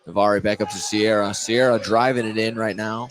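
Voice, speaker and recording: A man doing live play-by-play basketball commentary, halting at times and correcting himself mid-call. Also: fairly low pitch, fairly even intonation, a little hiss or hum. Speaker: play-by-play announcer. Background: gym ambience with bouncing basketballs.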